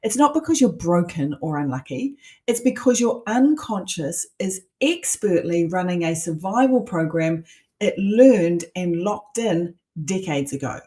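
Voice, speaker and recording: A woman's voice: fairly low pitch, expressive, unhurried, warm tone. A middle-aged woman with a New Zealand accent, a coach teaching an online masterclass in an emphatic, persuasive way with rhetorical questions.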